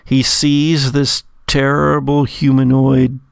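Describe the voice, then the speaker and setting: A man narrates a tabletop game as its game master in a slow, creaky voice. His phrasing is theatrical and florid. The recording is very dry and close. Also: mid-range voice, monotone, no echo. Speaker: game master of an actual play podcast.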